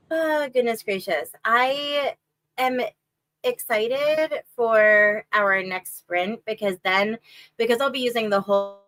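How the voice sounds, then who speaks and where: A woman co-hosting a reading stream in casual chat, talking chattily with lots of fillers and a slightly stuffy nose. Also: no room sound. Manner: chatty, lots of "like" and "um", lively rises in pitch. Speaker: co-host of a reading sprint stream.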